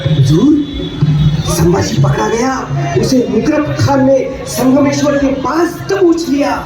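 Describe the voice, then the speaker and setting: A man playing a deferential, excitable Mughal minister declaiming news to his emperor in a stage drama. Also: extremely high pitch, unhurried. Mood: excited, deferential. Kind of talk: theatrical stage-play dialogue. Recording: echoey distant stage, music and crowd.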